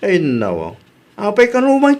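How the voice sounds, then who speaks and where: A man's voice: sits high, huge pitch swings, moderate pace, darkish tone. A man playing a sympathetic grown son in a family radio drama, conversational, with an Ilocano accent. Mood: concerned.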